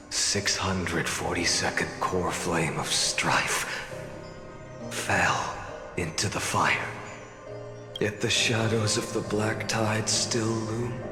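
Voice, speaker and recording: An actor playing a weary, burdened young warrior in a game, solemn, measured and grimly resolute. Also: male voice, fairly low pitch. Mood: somber narration. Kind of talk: dramatic game voice acting. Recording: echoing voice over loud music.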